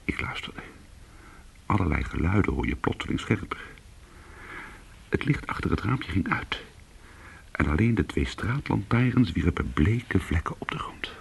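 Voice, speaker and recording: An actor playing a weary, hard-boiled former cat burglar, telling his story in an intimate, brooding and deliberate way in a radio play. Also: sits low, very animated, vocal fry, breathy edge, in a whisper, heavily acted. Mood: hushed, tense.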